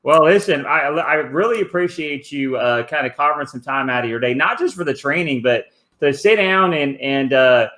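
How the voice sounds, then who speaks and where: A man's voice: fairly high pitch, expressive, moderate pace, bright, forceful tone. A man hosting a casual interview, friendly and affirming, with fillers like "kinda" and "uh". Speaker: host.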